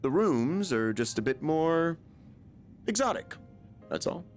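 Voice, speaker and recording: A man playing a composed, suave, analytical leader in an English video game dub, measured and thoughtful. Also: a little high, big pitch swings, faint music behind. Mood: amused, reassuring.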